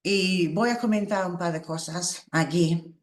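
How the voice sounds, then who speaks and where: Slow, reflective woman, Spanish. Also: on the low side. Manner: slow, halting, reflective, with small laughs. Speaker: workshop teacher. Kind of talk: spiritual workshop talk. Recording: roomy echo.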